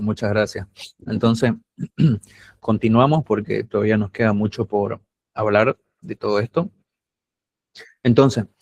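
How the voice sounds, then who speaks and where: A man's voice: mid-range voice, darkish tone, dry recording. A man from Santa Cruz, Bolivia, with a camba accent, lecturing a university class in a patient, explanatory way and often checking that students follow.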